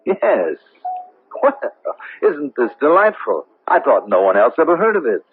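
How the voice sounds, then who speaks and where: An actor playing an affable, smooth-talking man at a social gathering in a radio drama. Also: on the high side, wildly swooping pitch, measured pace, narrow, tinny audio, heavily acted. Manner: affable, conversational. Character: smooth-talking male social guest, affable, concealing German past. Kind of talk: 1970s radio drama acting. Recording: noisy, band-limited old radio broadcast.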